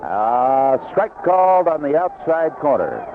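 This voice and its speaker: A man's voice: fairly high pitch, sing-song, slow. North american guy doing baseball play by play on old radio, tinny and really noisy. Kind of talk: live radio play-by-play commentary.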